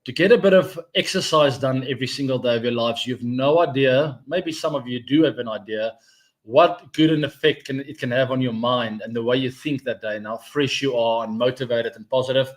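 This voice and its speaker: A man's voice: medium pitch, fairly quick. A man in his forties, hosting a live cricket stream in a chatty, rambling, upbeat way, thinking aloud as he goes. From South Africa. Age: 40s. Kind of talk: casual live-stream chat.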